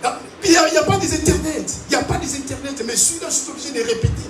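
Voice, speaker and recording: A man from Africa preaching a sermon to a congregation, animated and calling out to them. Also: very high-pitched, racing, over music. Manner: animated preaching with call-and-response. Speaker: pastor. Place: large church hall. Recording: echoey hall, distant mic, noisy.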